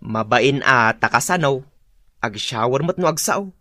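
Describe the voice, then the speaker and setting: A man's voice: mid-range voice, animated, measured pace, bright, forceful tone, no echo. A man acting an exasperated, teasing village husband with an Ilocano accent in a comedy radio drama. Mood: reasoning, teasing.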